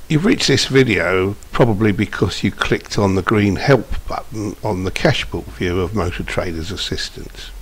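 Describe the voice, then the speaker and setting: Deep-voiced man, software tutorial. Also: moderate pace, hardly any pauses, husky. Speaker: software demonstrator. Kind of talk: informal screencast tutorial narration. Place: indoors, voice-over for screen recording. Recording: steady background hiss.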